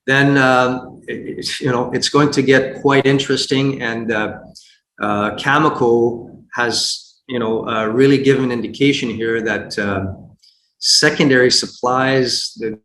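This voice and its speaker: A man giving unscripted investment commentary as a guest, speaking haltingly with frequent repeats and false starts. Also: mid-range voice, fairly even intonation, fairly bright tone. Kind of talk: unscripted earnings call commentary. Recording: roomy echo, faint background noise.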